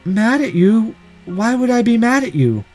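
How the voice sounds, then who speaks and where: A man voicing a shy, defensive teenage girl with a soft, hesitant manner in a visual novel. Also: high-pitched, big pitch swings, measured pace, soft background music, voice pushed up. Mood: puzzled.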